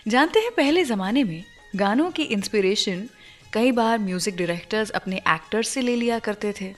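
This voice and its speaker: A woman's voice: fairly high pitch, a bit bright. A woman hosting a radio show, telling stories about film songs in a conversational way and putting questions straight to listeners. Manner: addresses listeners directly with questions. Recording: music playing behind the voice. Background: background music.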